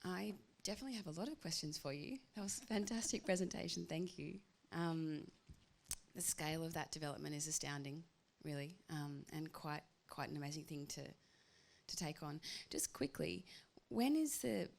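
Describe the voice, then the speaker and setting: An Australian woman speaks on a conference panel, her voice very low with a gravelly, fry-laden texture. She asks questions enthusiastically, in a chatty way with plenty of fillers. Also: normal speed. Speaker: panel respondent, government official. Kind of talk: panel discussion.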